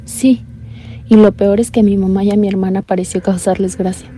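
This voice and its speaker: Woman playing a soft-spoken blind young caller. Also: medium pitch, steady tone, fairly quick, slight background noise, natural voice. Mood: uneasy. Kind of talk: radio drama narration.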